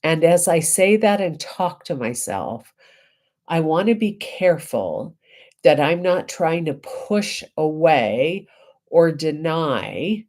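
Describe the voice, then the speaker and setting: A woman reverend getting over a head cold, giving a reflective spiritual talk with deliberate, emphatic phrasing and pauses. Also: low-pitched, a little mellow.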